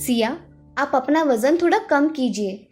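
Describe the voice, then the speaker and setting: A woman voicing a professional female doctor in an animated moral story, formal and instructive. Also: sits high, a little slow, soft background music. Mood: advisory.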